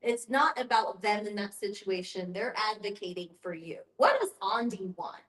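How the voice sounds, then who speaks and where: A woman's voice: medium pitch, very animated, moderate pace, fairly bright tone. A woman leading a group discussion as facilitator, speaking in an earnest, explanatory way with emphatic repetition. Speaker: guest facilitator, end-of-life educator. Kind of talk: guided group discussion with personal anecdotes. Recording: echoey room.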